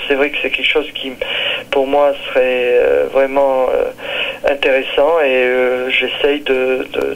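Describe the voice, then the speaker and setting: Halting French scientist, flat even voice. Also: male voice, medium pitch, lo-fi sound. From southern France, Nice. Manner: halting, many fillers and restarts. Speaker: visiting research scientist. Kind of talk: recorded interview testimony.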